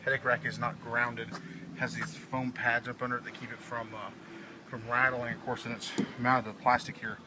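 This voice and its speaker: A man's voice: mid-range voice, level tone, normal speed. A man informally explaining in a casual, hands-on walk-through as a hobbyist demonstrating his own gear. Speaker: amateur radio hobbyist presenting his truck installation. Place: outdoors beside a truck, later inside its cab. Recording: noisy handheld vehicle video, ambient noise.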